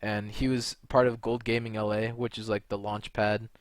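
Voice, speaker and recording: A man's voice: fairly low pitch, level tone, normal speed, no echo. Rambling young man, dry close mic. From California. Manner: rambling and blunt, with many fillers and restarts. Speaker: video creator and gamer. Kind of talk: unscripted monologue to camera.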